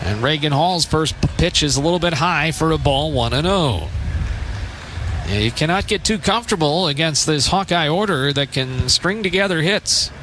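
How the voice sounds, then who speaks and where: A man doing live radio baseball play-by-play, unhurried between pitches and lifting his delivery when there is action. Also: animated. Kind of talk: live radio sports commentary. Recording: clearly noisy outdoor broadcast ambience.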